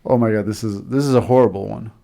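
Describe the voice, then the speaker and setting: A man's voice: lowish voice, steady tone, fast talker, creaky, dark tone. Guy hosting a podcast, loose and chatty, lots of ums. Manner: chatty, loose, many fillers. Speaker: podcast host. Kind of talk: casual podcast chat, reading jokes.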